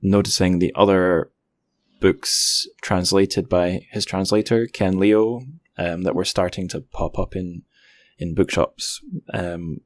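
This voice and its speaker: A man's voice: low-pitched, steady tone, slow pace, no room sound. A man hosting a podcast interview, chatting casually and thoughtfully in a hesitant, roundabout way.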